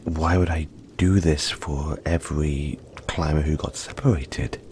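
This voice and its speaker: A man voicing a gentle, cuddly, long-lived yeti in an intimate audio roleplay, speaking reassuringly with breathy, dramatic pauses. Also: extremely low pitch, fairly even intonation, slight background noise. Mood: warm, playful.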